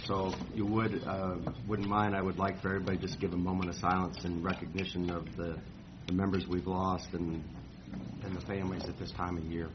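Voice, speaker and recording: A man chairing a formal meeting in a slow, measured, courteous way. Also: low-pitched, flat delivery. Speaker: committee chair. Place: meeting room. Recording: muffled meeting recording with hiss.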